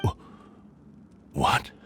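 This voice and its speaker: An actor voicing an ancient male sword spirit who speaks slowly and gravely, with weary sighs. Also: fairly bright tone, slight background noise. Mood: weary grief. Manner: slow, weary and grave, sighing.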